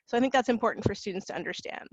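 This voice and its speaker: A woman's voice: mid-range voice, lively intonation, very fast, no room sound, lo-fi sound. A Canadian woman presenting on a video call, conversational, with frequent fillers and restarts. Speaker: university recruitment officer. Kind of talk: webinar presentation.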